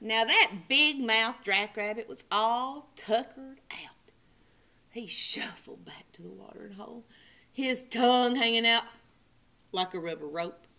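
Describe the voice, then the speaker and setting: Children's storyteller, woman: unhurried, bright, theatrical, highly expressive delivery. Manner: theatrical, emphatic, with hushed dramatic drops. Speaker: librarian storyteller. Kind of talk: animated children's storybook narration.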